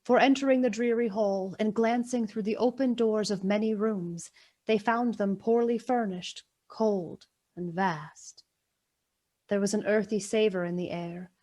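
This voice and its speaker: A woman narrating a literary radio play in a measured, dramatic and deliberate way. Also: mid-range voice, a little mellow, natural voice.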